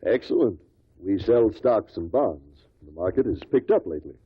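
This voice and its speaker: An actor playing a guarded stockbroker who gives measured, matter-of-fact testimony in a 1950s radio drama. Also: male voice, lowish voice, lively intonation, breathy edge, no echo. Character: guarded businessman, male stockbroker giving testimony. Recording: tinny, dry old radio recording.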